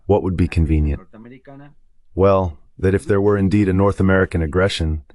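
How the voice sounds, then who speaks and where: A man with a very deep voice speaks as a guest analyst. The delivery is a translated voice-over of spoken geopolitical commentary. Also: steady tone, fairly quick, darkish tone.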